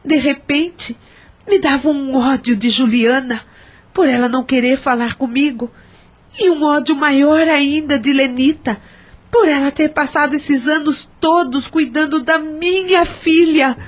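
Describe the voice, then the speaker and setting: Lady playing a guilt-ridden brazilian mom, pretty high voice, radio play confession. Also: measured pace. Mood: confessing, regretful. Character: troubled, remorseful adult woman, estranged mother confessing. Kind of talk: audio drama acting.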